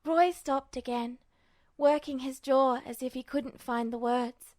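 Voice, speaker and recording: A woman with a high-pitched voice narrates a dramatic story expressively. The recording is close and dry, with very little room sound, in the manner of an audiobook. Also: fairly even intonation, unhurried, a little mellow, no room sound. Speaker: podfic narrator. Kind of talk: expressive scripted fiction narration.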